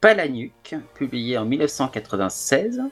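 A man hosting a podcast quiz, reading out the questions in a measured, deliberate way. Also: mid-range voice, sing-song, a bit bright, soft background music. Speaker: quiz host.

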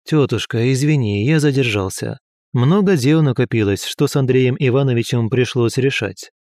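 A man reading as a brisk young prince. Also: mid-range voice, a little mellow, dry recording, clean studio sound, lightly acted. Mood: apologetic. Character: young prince, brisk, modest, slightly unwell. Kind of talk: audiobook character voice.

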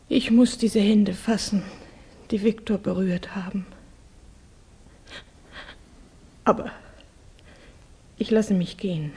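An actress playing a refined, earnest young Russian woman in grief, speaking haltingly in a 1960s German radio drama. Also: mid-range voice, warm tone, slightly rough, heavily acted. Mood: overwhelmed, formal. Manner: halting, formal, intense.

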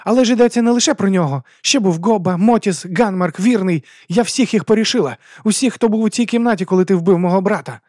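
A man voicing a vengeful, defiant mercenary woman in an audiobook, shouting in a strained, bitter way. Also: high voice, expressive, fast talker, hardly any pauses, dry recording, clean studio sound, voice pushed up. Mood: defiant fury. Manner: shouting, strained, near screeching.

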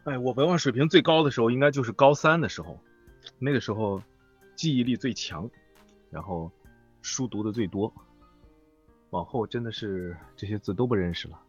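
A man with a husky voice talking casually as a voice actor guest in an interview. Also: medium pitch, moderate pace, long pauses. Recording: dull online call audio, music behind. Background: music.

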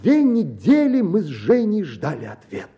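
A puffed-up wannabe writer, high swoopy voice, kinda soft dark tone, comic radio play. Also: male voice, wildly swooping pitch, moderate pace, dull audio, heavily acted. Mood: eager storytelling. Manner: pompous, emphatic, declamatory. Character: excitable, self-assured would-be writer lecturing on marriage. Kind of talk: theatrical comic story performance.

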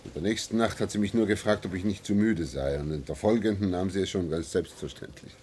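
An actor playing a weary, stubborn husband in a German science-fiction radio drama, emphatic and irritable. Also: male voice, low voice, fast talker, a little mellow, lightly acted. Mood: exhausted, defiant. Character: weary, resentful husband and office worker, stubbornly refusing. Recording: noisy vintage radio play recording.

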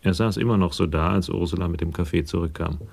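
An actor narrating a crime radio play as an observant storyteller, measured and matter-of-fact. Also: male voice, low voice, vocal fry, darkish tone, slightly rough, full character voice. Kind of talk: scripted narration in radio drama.

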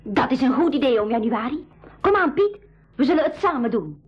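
An actress playing a plucky, sensible older sister in a school radio drama, earnest and clearly enunciated. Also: female voice, high-pitched, big pitch swings, fairly quick, heavily acted. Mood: decisive. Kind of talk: 1980s Dutch-language school radio drama acting. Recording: dull, noisy 1980s radio recording.

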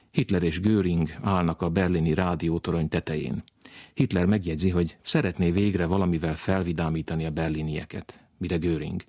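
Male presenter, very low pitch, husky, dry sound, scripted narration. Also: darkish tone, no room sound. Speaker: radio programme presenter.

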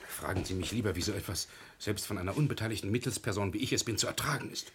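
An actor playing a grave, formal legal counsellor and anxious family go-between in a classic German radio drama, measured and solemn. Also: male voice, on the low side, background noise, natural voice. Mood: grave, urgent.

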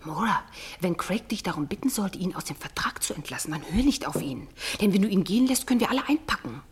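An actress playing an effusive, anxious, insinuating family friend in a radio drama, theatrical and often dropping to a breathy hush. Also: female voice, mid-range voice, lively intonation, fast talker, slight background noise, lightly acted. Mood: urgent, anxious.

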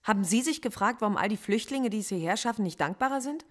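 An actress playing a defiant philosophy professor under police interrogation in a radio drama, impassioned and lecturing. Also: female voice, mid-range voice, animated, fast talker, lightly acted. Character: female philosophy professor suspect, defiant, intense.

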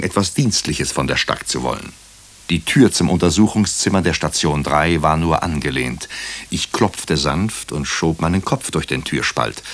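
A very low-voiced, husky male hospital doctor narrates a crime radio play. He is brooding and wry. His phrases come measured and clipped, as if thinking aloud. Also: a bit bright, heavily acted. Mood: dry narration. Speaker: narrator and lead character. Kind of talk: German radio drama, first-person crime narration.

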